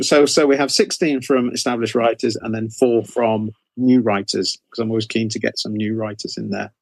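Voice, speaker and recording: A man's voice: lowish voice, creaky, no echo. A man giving casual interview answers in a hesitant way, often repeating and correcting himself as he explains. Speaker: interview guest, author and anthology editor.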